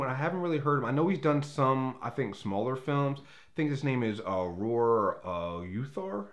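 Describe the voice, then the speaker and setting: A man hosting a movie review in a casual, unscripted way, rambling and opinionated, often backtracking as he talks. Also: medium pitch.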